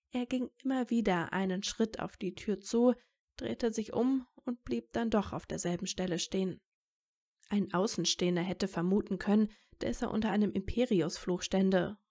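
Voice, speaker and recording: A woman's voice: medium pitch, darkish tone. Female narrator for fan fiction audio drama. Middle register, scripted storytelling delivery.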